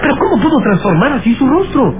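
A nervous young boy, the hero's sidekick in an old radio serial. The voice is high and very animated, full of wonder, on a noisy, muffled recording. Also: fast talker. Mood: astonished. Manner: questioning, astonished, nervous. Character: anxious, wide-eyed young boy sidekick. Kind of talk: vintage Spanish-language radio serial acting.

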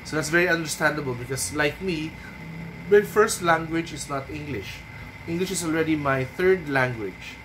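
A man in his thirties from the Philippines with a Filipino accent, a Cebuano native speaker, giving unhurried writing advice to a webcam in a small room at home. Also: fairly high pitch, noisy background. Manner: unhurried, thoughtful, frequent ums and tag "no?". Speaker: writing coach. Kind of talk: casual advice talk to camera. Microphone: webcam at desk.

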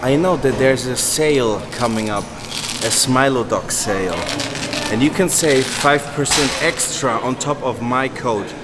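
A man's voice: medium pitch, unhurried, background noise. A man, joking, cursing and coaching a training partner in a large indoor gym. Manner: cheerful, cursing, bantering coach. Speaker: bodybuilder vlog host. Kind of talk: casual gym chat and coaching. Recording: echoey gym room.

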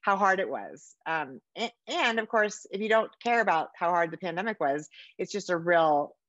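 A woman's voice: lowish voice, lively intonation, moderate pace, a bit bright, no echo. Casting: American woman, middle-aged, effusive podcast host chatting casually; dry room, slightly dull video-call audio. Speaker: podcast host interviewing an author. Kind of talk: casual interview chat. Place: book-lined room at home, indoors.

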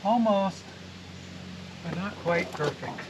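A man's voice: high-pitched, slow pace. Chatty man fixing a sawmill outdoors. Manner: chatty, laughs easily, talks while working. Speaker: sawmill owner showing repairs. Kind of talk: casual hands-on explaining. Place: outdoors in a wooded yard beside a sawmill. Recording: outdoor recording with clear background noise.

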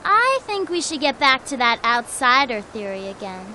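A woman's voice: sits high, big pitch swings, moderate pace, bright, forceful tone, a little hiss or hum, exaggerated voice. A woman voicing an eager, take-charge young detective in a cartoon game.

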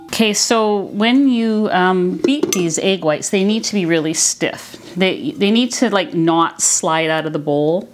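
A woman's voice: lowish voice, a little slow, few pauses. An older woman, around her sixties, casually explaining as she demonstrates cooking in a conversational way. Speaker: cooking video host. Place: home kitchen, indoors.

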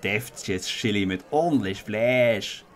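A man's voice: on the low side, takes it slowly. A man playing fussy, pompous chili judges who appraise each dish in a comic story. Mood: approving. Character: fussy, appreciative male chili connoisseur judges.

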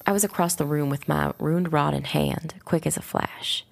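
An actress playing a wary, plucky young witch who narrates her own story in a dark audio drama. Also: low voice, fairly even intonation, normal speed, gravelly. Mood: tense, reflective.

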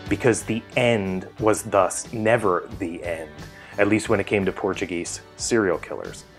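Deep-voiced American man narrating over music. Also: a little slow. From New Jersey, United States. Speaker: author telling a personal essay. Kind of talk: scripted first-person narration for an audio horror docuseries. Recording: music bed behind the voice. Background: guitar music.

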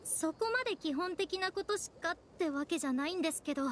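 A woman's voice: very high-pitched, lively intonation, fast, slight background noise. A woman voicing a polite, chatty, self-deprecating young female guide in an anime game. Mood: earnest explaining. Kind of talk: Japanese anime game voice acting.

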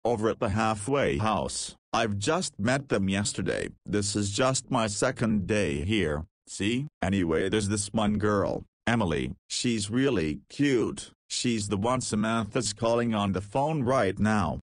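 Visual novel lead, male, nineteen: cheeky, easygoing and slangy, lowish voice, dry recording, acted by a man. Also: expressive, unhurried, few pauses, no room sound. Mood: fond. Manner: casual, slangy. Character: cheeky, easygoing nineteen-year-old male ex-juvenile detainee. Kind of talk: visual novel character dialogue.

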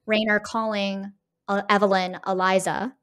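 Woman, medium-pitched voice, podcast co-host, analytical, conversational, emphatic, seeks agreement as she goes. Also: flat delivery, normal speed, fairly bright tone. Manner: emphatic, often checks in with "right?".

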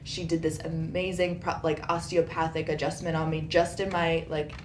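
A woman talks casually and in detail as a patient. Her voice sits a little low, with noticeable room echo and faint noise behind it. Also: little pitch movement, normal speed. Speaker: patient, yoga teacher. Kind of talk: casual, detailed account of body complaints. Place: small carpeted treatment room. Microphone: boom microphone on a stand. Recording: roomy sound with background hiss.

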